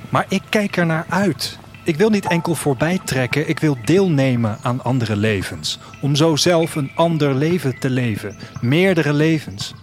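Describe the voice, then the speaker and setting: A man playing a restless, wistful traveller, narrating his story in a reflective, measured literary audio drama style. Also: fairly high pitch, animated. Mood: restless, eager. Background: music.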